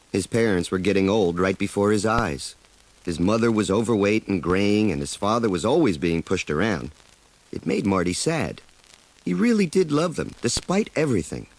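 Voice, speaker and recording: Animated low-voiced male storybook narrator. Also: poor audio. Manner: dramatic, expressive storytelling. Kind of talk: scripted children's read-along narration.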